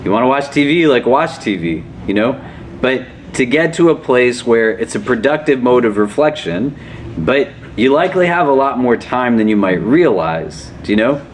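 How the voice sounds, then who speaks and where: A man's voice: medium pitch, animated, normal speed, a little hiss or hum. A man, an imam leading a casual religious class, asking questions and often checking in with "do you know?". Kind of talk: conversational religious lesson.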